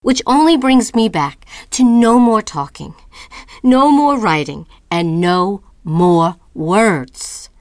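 A woman playing an anguished, defiant writer in a theatrical audio-drama monologue, speaking deliberately and dramatically. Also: medium pitch, expressive, no echo, studio quality. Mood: indignant, wry. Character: anguished, defiant woman writer defending her identity and work.

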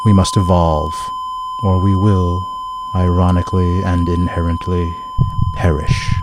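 A man performing a grave, declamatory social critic in a scripted dramatic monologue, with deliberate, solemn phrasing. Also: low voice, level tone. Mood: grave, admonishing. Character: grave male orator condemning society's closed minds. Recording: clearly noisy recording with clicks.

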